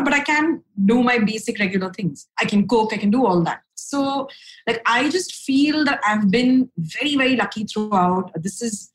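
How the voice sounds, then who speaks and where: A young adult woman from India, a podcast guest speaking frankly and upbeat, with a low voice for a woman and casual fillers. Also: rough recording. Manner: frank, upbeat, lots of fillers and "right?" tags. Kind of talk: candid conversational storytelling.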